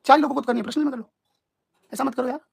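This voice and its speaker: Guy on a live stream talking super fast, arguing and clarifying stuff, repeats himself a lot. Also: very high voice, steady tone, creaky, breathy edge. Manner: argumentative, emphatic, repetitive.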